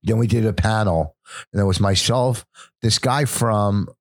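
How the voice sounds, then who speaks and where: A very deep-voiced older man talks in a loose, rambling way, recounting stories with false starts and filler. The sound is close and dry, with no room around it. He comes across as a working comedian in casual conversation. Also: measured pace, no room sound. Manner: rambling storytelling with many restarts. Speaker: stand-up comedian co-hosting a podcast. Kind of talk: casual chat.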